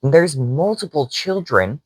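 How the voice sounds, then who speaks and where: A young man co-hosting a podcast, telling an animated story in casual chat with frequent laughs. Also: fairly high pitch, unhurried, breathy edge, no echo. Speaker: podcast co-host.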